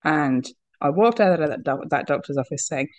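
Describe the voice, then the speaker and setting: A woman with a cold and a husky voice, talking candidly as a podcast guest, laughing often and restarting her sentences. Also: deep voice, animated, normal speed, no echo. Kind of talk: candid personal conversation.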